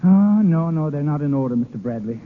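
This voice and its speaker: An actor playing a cheeky, tipsy, easily flustered sidekick in a 1930s radio comedy, excitable, mock-servile and spluttering. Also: male voice, on the high side, a little fast, slight background noise, close to an everyday voice. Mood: playful. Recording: tinny old radio broadcast.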